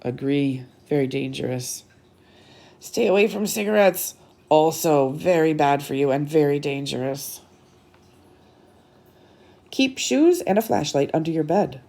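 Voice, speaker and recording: A woman reading a picture book aloud to children as a storytime host, animated and teacherly, with dramatic delivery and little asides. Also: sits low, unhurried, creaky, long pauses.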